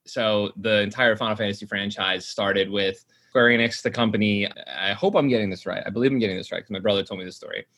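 A man's voice: on the low side, steady tone, quick pace, a bit bright. A man in his late twenties chatting casually, rambling with lots of fillers and restarts. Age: 20s. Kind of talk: casual chat.

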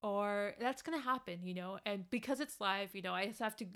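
Young woman w/ spanish accent, podcast host, smooth clear voice, earnest and encouraging. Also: mid-range voice, a little fast, fairly bright tone. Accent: Spanish-speaking. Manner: earnest, encouraging, many "you know" fillers. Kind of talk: casual solo podcast talk.

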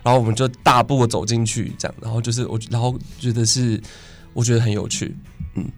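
A man's voice: mid-range voice, level tone, brisk, soft background music. A man chatting casually as an interview guest. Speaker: guest, theater singer and actor.

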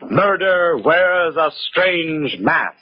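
An actor playing a sinister, solemn narrator in a theatrical 1940s radio mystery style. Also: male voice, a little high, animated, extremely slow. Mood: ominous. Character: sinister, portentous male narrator of a mystery anthology. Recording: muffled old radio recording, loud music behind.

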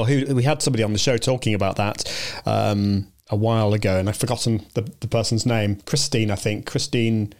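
A man's voice: medium pitch, darkish tone. A man hosting a live show in a casual interview, encouraging and supportive, often stumbling over his words. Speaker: host of a live video show.

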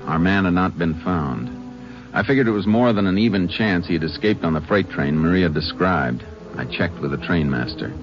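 Actor doing a low-voiced texas ranger, calm drawl, muffled old radio. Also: male voice, normal speed, over music, close to an everyday voice. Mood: narrating. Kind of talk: 1950s radio drama acting and narration.

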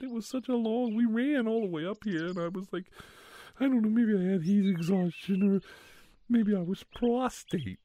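A man's voice: sits high, dark tone, lo-fi sound, a little hiss or hum, voice pushed up, lightly acted. A man voicing a flustered, nerdy young man in thick glasses who rambles in an overwrought, breathless way. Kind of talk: ASMR roleplay storytelling.

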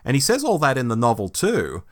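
A man's voice: medium pitch, huge pitch swings, brisk. A man co-hosting a podcast, talking casually as he compares and discusses stories. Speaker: podcast co-host. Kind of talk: casual book review discussion.

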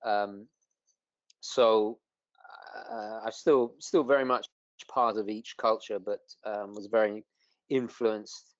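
A man from London whose first language was Italian, speaking with an English accent and a trace of Italian as a guest in a casual interview, halting with lots of restarts. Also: lowish voice, dry recording, dull audio. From England. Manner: halting, many restarts and fillers.

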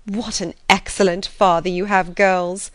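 A woman voicing an excitable, easily exasperated middle-aged mother in an audiobook, with an exclamatory manner. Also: lowish voice, unhurried, dry recording. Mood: gushing, fond. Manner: exclamatory, resentful, complaining. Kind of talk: audiobook character voice.

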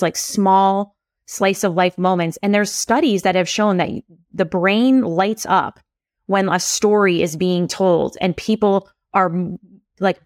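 A woman's voice: medium pitch, dry recording, studio quality. A woman talking as a guest on a podcast, a copywriter and storytelling coach, chatting in a loose way full of filler words. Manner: chatty, lots of "like" and "you know" fillers. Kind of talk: casual podcast conversation.

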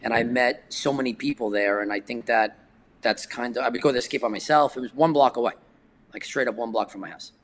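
A man's voice: medium pitch, brisk, a little hiss or hum. Give me a New guy in his forties chatting loosely on a podcast, stumbling and restarting as he tells stories. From New York, United States. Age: 40s. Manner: rambling, filler-heavy storytelling. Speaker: guest skateboarder. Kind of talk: casual podcast chat.

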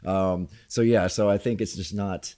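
A man's voice: sits low, steady tone, normal speed, mellow. A man in his forties with a Southern accent, hosting a casual podcast interview, chatty and jokey. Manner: chatty, jokey, laughs often. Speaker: podcast host.

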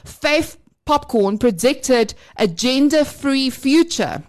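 A woman's voice: high-pitched, expressive, takes it slowly. A woman hosting a podcast, explaining an idea in an upbeat, conversational way. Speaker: podcast host. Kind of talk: casual podcast chat.

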